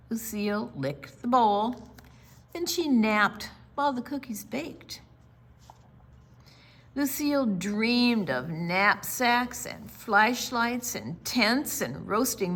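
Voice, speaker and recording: A woman's voice: mid-range voice, fairly bright tone, breathy edge. A woman hosting a children's storytime, reading and chatting expressively, drawing out words for young listeners. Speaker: children's storytime host and reader. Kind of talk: expressive read-aloud and friendly chat.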